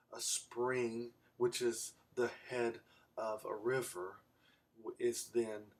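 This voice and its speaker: A man raised in Belgium, giving an informal explanatory lecture in a halting, deliberate way with long pauses. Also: medium pitch, recorded from a distance. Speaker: amateur Bible scholar giving a video response.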